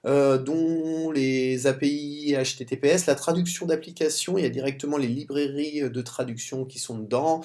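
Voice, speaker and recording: Brief: male tutorial presenter with a French accent, stuffy with a cold, mellow dark tone, halting delivery. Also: medium pitch, steady tone. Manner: halting, stumbles and restarts words. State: has a cold. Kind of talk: screen-recorded coding tutorial.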